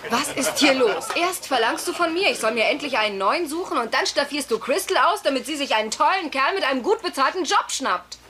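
High, swooping, dramatic sister. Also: female voice, lively intonation, fairly quick, hardly any pauses, a crowd in the background. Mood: indignant. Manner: indignant, dramatic. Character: indignant, pushy single sister of the housewife. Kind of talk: German-dubbed sitcom acting. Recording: noisy TV sitcom soundtrack.

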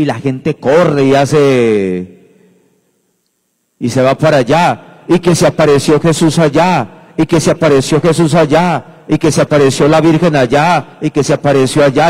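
Male priest, 50s, slow deliberate homily, repeats for emphasis, handheld mic, church reverb. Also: mid-range voice, a little mellow. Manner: unhurried, emphatic, repeats phrases, gestures broadly. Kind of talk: homily at Mass. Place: church sanctuary at the lectern. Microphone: handheld microphone.

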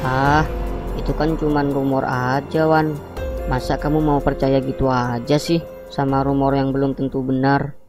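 A man voicing a skeptical but easily frightened young college student in a cartoon, colloquial and expressive, with a Jakarta accent. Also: medium pitch, moderate pace, over music, close to an everyday voice. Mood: dismissive. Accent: Jakarta Indonesian. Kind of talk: animated cartoon dialogue.

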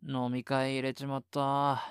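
A man acts as a happy-go-lucky, clumsy hero type in a Japanese audio drama. He mutters breezily to himself at a slow pace, in a clear voice with some creak. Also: mid-range voice, monotone, no room sound, clean studio sound, lightly acted. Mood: flustered, easygoing. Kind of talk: Japanese situation voice acting.

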